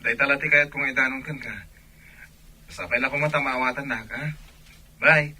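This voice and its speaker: An earnest young student in love in a Filipino radio drama. His voice is forceful and bright, at an average male pitch, with a worried manner. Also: fairly even intonation, normal speed, rough recording. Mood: apologetic.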